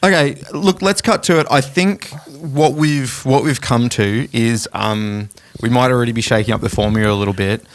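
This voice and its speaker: A man's voice: mid-range voice, normal speed, hardly any pauses. A man co-hosting a music podcast in a casual, blokey, irreverent way. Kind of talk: casual chat.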